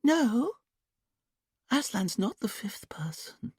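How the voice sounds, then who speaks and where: A man voicing a young woman in a hypnotic trance, who answers in a quiet, breathy, childlike sing-song. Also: extremely high pitch, very animated, takes it slowly, dry recording, clean studio sound, pitched above the performer's own voice, exaggerated voice. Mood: dreamy, entranced.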